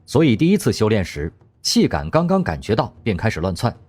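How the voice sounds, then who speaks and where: A man narrating a radio drama in scripted storytelling style, expressive and lively in delivery. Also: fairly low pitch, brisk, husky, soft background music.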